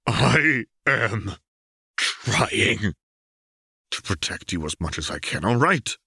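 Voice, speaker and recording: A man performs a tired, troubled councillor around forty in an audiobook drama. He sounds strained and earnest, defending himself in a low voice with a dry, close sound. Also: big pitch swings, takes it slowly, vocal fry, pushed and bright, husky, no room sound, heavily acted. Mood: anguished. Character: weary, anguished man of about forty, guarded inventor-councillor.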